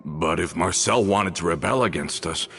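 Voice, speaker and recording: A low-voiced earnest gang member. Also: male voice, normal speed, gravelly, fairly bright tone. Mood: explaining. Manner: earnest, explaining at length. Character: loyal young male gang member, earnest and worried. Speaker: henchman to the boss. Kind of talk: game voice acting.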